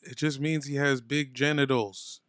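A man's voice: mid-range voice, fairly even intonation, a little slow, a bit bright, smooth. A man co-hosting a podcast in casual comedic banter, riffing playfully. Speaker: podcast co-host.

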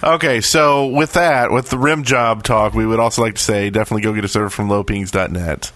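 A man's voice: lowish voice, fairly quick, a bit bright. A man hosting a podcast, giving casual announcements and banter. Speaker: podcast host.

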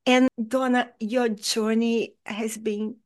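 Slow, affirming woman podcast host. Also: on the high side, level tone, no room sound, clean studio sound. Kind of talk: interview hosting.

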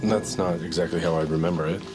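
An actor playing an anxious, insecure slacker boyfriend with a flustered, slightly whiny manner in a comedic audio drama. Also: male voice, sits low, steady tone, fast, over loud music, lightly acted. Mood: wounded, defensive.

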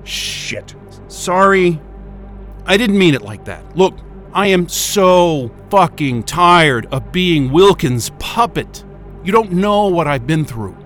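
A man's voice: high-pitched, sing-song, takes it slowly, a bit bright, faint music behind, heavily acted. An actor playing a frantic, exhausted and angry station crewman in a science-fiction audio drama, agitated, sweary and stammering. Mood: desperate.

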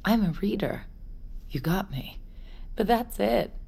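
A woman's voice: medium pitch, animated, a little slow, gravelly, warm tone, husky, full character voice. A woman acting the part of a defiant young prisoner, sardonic and combative, in an audio drama. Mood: guarded, defiant. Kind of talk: naturalistic audio fiction acting.

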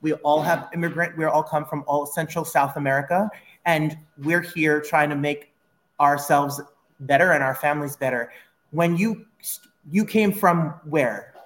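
A man's voice: on the high side, a little slow, a bit bright. A man, a musical theatre teacher, interviewing a guest for his students, encouraging and explaining terms as he goes. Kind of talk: classroom interview.

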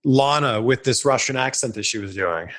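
A man's voice: mid-range voice, lively intonation, moderate pace, a bit bright. A man interviewing a guest, asking his questions in a friendly, conversational way.

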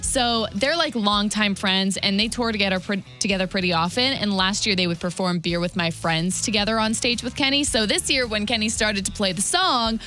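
A woman with a bright, punchy voice chats as a radio co-host. She tells entertainment stories in a loose, informal way at a medium pitch. She is close on the mic, with faint music underneath. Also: normal speed, few pauses. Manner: chatty, informal storytelling. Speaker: radio morning show co-host. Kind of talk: casual radio entertainment chat. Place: radio studio. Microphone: close radio studio microphone.

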